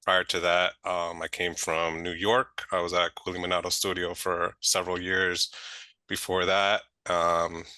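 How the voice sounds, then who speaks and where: A man's voice: sits low, flat delivery, a little slow, bright, forceful tone, no echo. A senior lighting designer, a man, introducing himself plainly on a video call.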